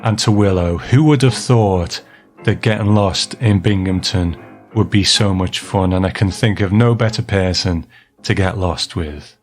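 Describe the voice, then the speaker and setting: A man with a low voice narrates as a podcast host. His delivery is measured and appreciative, like prepared remarks, with music clearly playing underneath.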